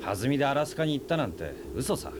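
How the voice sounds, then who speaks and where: A man's voice: mid-range voice, big pitch swings, quick pace, background noise, close to an everyday voice. A man playing a talkative, teasing family acquaintance in a Japanese radio drama, speaking in a casual, knowing and provocative way. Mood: knowing, revealing. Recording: 1990s FM radio drama on cassette, music behind.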